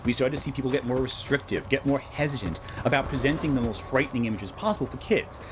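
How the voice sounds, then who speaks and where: Wry male video essayist over loud noise. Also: medium pitch, lively intonation, fast. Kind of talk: scripted narration with casual asides.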